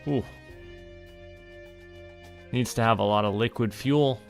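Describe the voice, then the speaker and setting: A man's voice: mid-range voice, takes it slowly, vocal fry, soft background music. A man with a Canadian accent doing casual solo gameplay commentary as a streamer, musing to himself as he goes. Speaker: game streamer.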